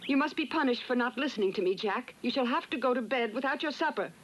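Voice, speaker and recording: A woman's voice: fairly high pitch, fast, lightly acted. An actress playing a stern, worried poor mother in a cartoon dub, scolding her son. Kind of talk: 1970s cartoon dub acting. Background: music.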